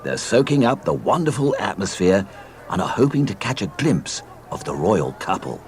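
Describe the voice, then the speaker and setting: A man narrating a scripted read-along storybook in a deliberate, deadpan way. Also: on the low side, a little hiss or hum.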